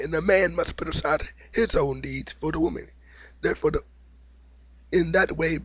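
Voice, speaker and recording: A man with a cold and a slightly raspy voice, giving an opinionated, repetitive monologue as the host of his own video. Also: on the high side, fairly bright tone, no echo, poor audio. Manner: opinionated, preachy, repeats himself. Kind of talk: unscripted monologue vlog.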